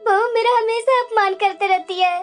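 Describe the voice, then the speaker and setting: A very high-pitched elderly mother-in-law played by a woman, meek and long-suffering. She speaks plaintively, as a wounded figure in a family drama. Music plays faintly behind her. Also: steady tone, quick pace, warm tone. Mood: hurt, complaining. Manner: plaintive, self-pitying. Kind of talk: Hindi family drama story dialogue.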